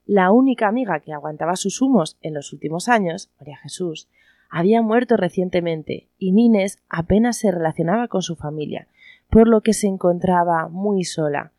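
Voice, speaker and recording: A woman's voice: fairly low pitch, normal speed, mellow, no echo, clean studio sound. A woman reading scripted narration for a radio play in the manner of a story.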